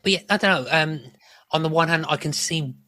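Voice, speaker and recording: A man's voice: on the high side, a little fast, pushed and bright, no room sound. A middle-aged man, chatting casually as a podcast co-host in a rambling, self-correcting way. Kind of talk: casual chat about a TV show.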